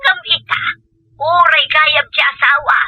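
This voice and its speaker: A woman's voice: sits high, unhurried, bright, forceful tone, lo-fi sound, full character voice. A woman playing a worried, aggrieved mother who complains emphatically in an Ilocano accent, in an audio drama. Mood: aggrieved, indignant. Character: worried, aggrieved mother tattling on her gambling husband and son-in-law. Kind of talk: Ilocano comedy drama acting.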